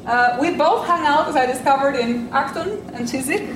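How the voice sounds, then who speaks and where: A middle-aged woman from Albania, a native French speaker, delivers an academic lecture in a measured, reflective way. Her voice sits fairly high and now and then shows a dry, self-deprecating humor. The room around her rings audibly, with a faint hum of ambience underneath. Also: reverberant, recorded from a distance. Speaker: invited speaker.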